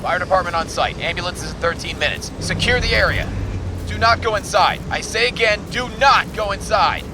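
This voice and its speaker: Bossy fireman on game radio, high voice, short orders, noisy rumble. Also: fairly quick. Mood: urgent, commanding. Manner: terse, commanding. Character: commanding male fire department responder on radio. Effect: radio channel.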